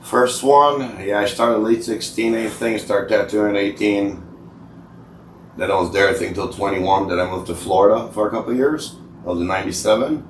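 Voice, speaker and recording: Echoey, low-voiced man telling tattoo stories. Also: moderate pace, far from the mic. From Israel. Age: 40s. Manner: rambling, colloquial, swears freely, acts out dialogue. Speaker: tattoo artist, podcast guest. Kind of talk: casual podcast chat, telling career stories. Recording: roomy echo, off-mic, faint background noise.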